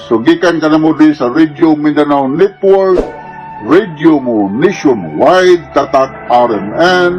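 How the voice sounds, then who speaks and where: A man's voice: a little high, animated, a little slow, darkish tone. Male radio host, dull sound over music. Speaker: radio advice-program host. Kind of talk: radio talk and sign-off.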